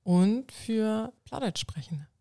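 Gravelly woman, earnest. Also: mid-range voice, lively intonation, slow pace, warm tone, no room sound, studio quality. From North Frisia, northern Germany. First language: Low German. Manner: earnest, explains at length with restarts. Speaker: guest, coach and civil servant. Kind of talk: casual interview answers.

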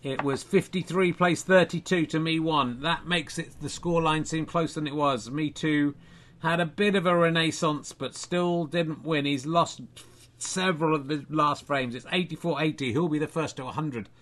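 Dry, deadpan man, raised pitch. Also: moderate pace. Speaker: comedy podcast host. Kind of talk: mock football results reading and chat.

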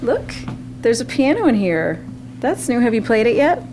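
An actress playing a soothing, gently coaxing woman visiting a confused person in an audio fiction drama. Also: a little high, sing-song, normal speed, background noise. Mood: gently upbeat. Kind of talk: naturalistic audio fiction acting.

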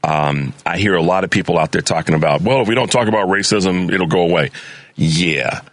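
A man's voice: deep voice, fairly quick, dry recording. A man hosting a talk radio show, delivering a fervent, preachy political monologue and repeating words as he gets worked up. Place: radio studio. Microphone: studio mic.

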